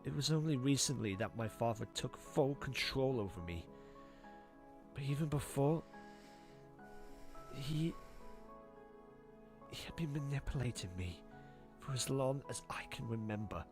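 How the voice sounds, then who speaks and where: An actor playing a remorseful, broken young male, halting and stammering with anguish, in cartoon drama style. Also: mid-range voice, expressive, over music. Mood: sorrowful. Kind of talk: animated fan audio drama acting.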